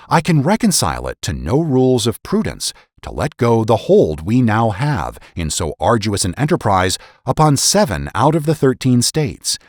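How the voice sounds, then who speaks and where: A man narrating a historical political essay as a dramatic reading, in a formal, measured, oratorical manner. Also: medium pitch, dry recording, clean studio sound. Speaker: podcast host and narrator.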